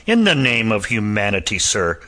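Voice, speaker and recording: A man's voice: on the low side, huge pitch swings, normal speed, bright, forceful tone, heavily acted. A man voicing a composed physician and former soldier with a dry, cutting wit and a lilt. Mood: indignant. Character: cool, sardonic Irish physician and ex-soldier, adult man.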